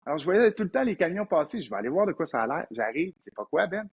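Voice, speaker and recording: A man in his fifties with a Québécois accent, an entrepreneur guest telling lively anecdotes in a casual interview. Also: fairly high pitch, fast talker, breathy edge, highs cut off. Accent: Québécois French. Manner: animated anecdotal storyteller, frequent restarts.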